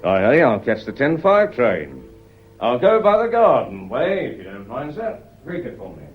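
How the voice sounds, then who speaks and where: A man playing a shrewd, courteous British police inspector in a radio drama, speaking in a measured, deferential, explanatory way. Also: mid-range voice, huge pitch swings, breathy edge, soft background music. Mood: polite, reflective. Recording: dull, lo-fi old radio recording.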